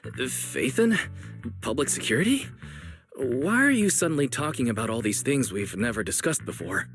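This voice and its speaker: Friendly young store manager for an anime game, mid-pitched, animated melody, casual, faint music behind. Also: male voice, normal speed. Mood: questioning, thoughtful. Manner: casual, polite.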